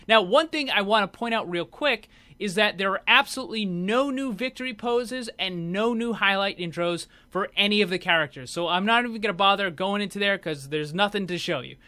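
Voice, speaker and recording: A man's voice: sits high, a little fast, few pauses, sharp tone. A man hosting a gaming video, giving casual, conversational commentary as he explains what is on show.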